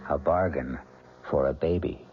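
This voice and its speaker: A deep, ominous story narrator. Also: male voice, loud music behind the voice. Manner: slow, ominous, dramatic. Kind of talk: scripted radio drama narration. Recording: muffled old radio broadcast.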